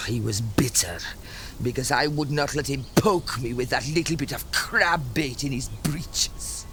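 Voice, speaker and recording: A woman's voice: a bass voice, a little slow, faint music behind. An actress playing a foul-mouthed, bitter village fisherwoman who scolds and insults in a dramatic video game scene. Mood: bitter, scornful. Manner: crude, insulting, sharp-tongued scold. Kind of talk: dramatic game cutscene acting.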